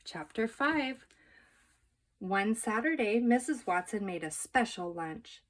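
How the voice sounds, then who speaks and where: A woman storyteller reading a children's book aloud in an expressive, measured, emphatic narrating style. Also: on the high side.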